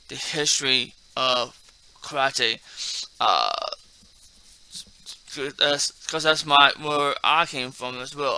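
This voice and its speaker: A man hosting a martial arts video in a casual, halting way, repeating and stumbling over words, with a slight speech impairment. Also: mid-range voice, sharp tone, smooth, rough recording. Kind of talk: casual talk mixed with halting reading aloud from a text.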